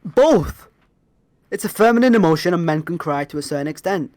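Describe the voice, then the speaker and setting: A man's voice: a little high, lively intonation, brisk, darkish tone, no room sound, clean studio sound. Sniffly congested man arguing back. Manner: defensive, argumentative, repeats "man". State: congested, blocked nose, sniffling. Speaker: debate guest. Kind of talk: heated online debate.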